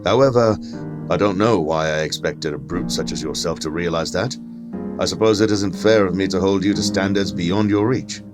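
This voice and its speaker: Deep-voiced, pompous learned man played by an actor. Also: moderate pace, music in the background, close to an everyday voice. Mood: condescending. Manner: pompous, formal, lecturing. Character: haughty, learned skeptic gentleman, argumentative and condescending. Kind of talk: dramatic audio fiction acting.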